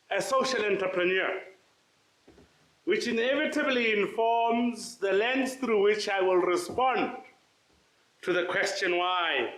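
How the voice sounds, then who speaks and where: Emphatic male keynote speaker, high-pitched, booming, roomy echo. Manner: loud, emphatic, oratorical. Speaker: conference speaker, social investment practitioner. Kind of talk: conference keynote speech. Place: conference venue.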